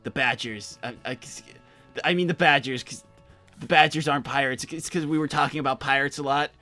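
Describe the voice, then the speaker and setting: A man plays an awkward young cartoon hedgehog with a bright, forward voice. He stammers, rambles and backtracks as he tries eagerly to keep up in improvised role-play. Music plays behind him. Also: mid-range voice, a little fast, lightly acted. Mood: awkward, nervous.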